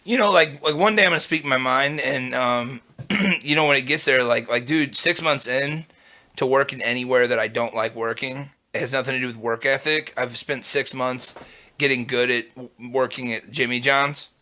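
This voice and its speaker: A young man with a low voice and a bright, forceful edge talks in a loose, rambling way. He is full of fillers and curses, like someone chatting as a podcast guest. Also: steady tone, moderate pace. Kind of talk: casual chat.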